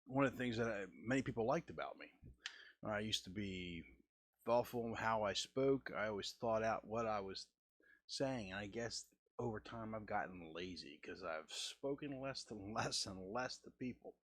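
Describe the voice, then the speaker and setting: A husky man in his forties chats to viewers in a low voice, slowed and deliberate, with regular stammers and restarts. The sound is dry and close, with no room around it. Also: dry recording. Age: 40s. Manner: halting, restarts and stammers, deliberate lowered delivery. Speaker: host. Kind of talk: casual art-stream chat.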